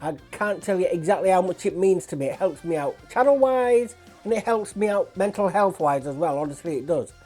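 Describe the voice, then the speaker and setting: Mellow-voiced woman with a blocked nose. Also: lowish voice, lively intonation, fairly quick, breathy edge, faint music behind. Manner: chatty and apologetic. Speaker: reaction channel host. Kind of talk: casual chat to viewers.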